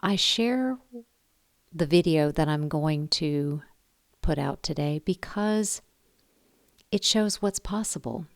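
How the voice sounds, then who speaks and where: Low-pitched woman, earnest and dry-sounding. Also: lively intonation, slow pace, long pauses, no room sound. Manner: earnest, encouraging, emphatic repetition. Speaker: ham radio video host. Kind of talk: casual talk to camera. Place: indoor room downstairs at home.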